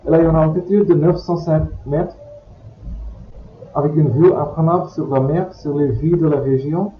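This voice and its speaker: A man's voice: a little high, fairly even intonation, normal speed, a little hiss or hum. A man teaching a language by reading short passages aloud, then repeating them word by word. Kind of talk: slow read-aloud lesson for learners. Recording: echoey, dull lo-fi recording.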